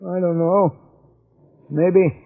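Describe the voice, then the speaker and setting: A man's voice: sits high, fairly even intonation, very slow. An actor in 1940s radio drama playing a bitter, volatile man, sneering and full of resentment, on a tinny old recording. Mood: bitter, resentful. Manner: sneering, explosive when provoked.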